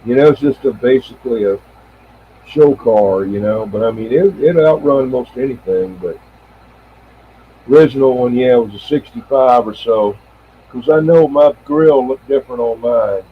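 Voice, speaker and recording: An American man in his seventies chatting casually on CB radio in a halting, rambling, reminiscing way. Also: mid-range voice. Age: 70s. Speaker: CB radio operator and live streamer. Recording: tinny band-limited radio audio with faint noise.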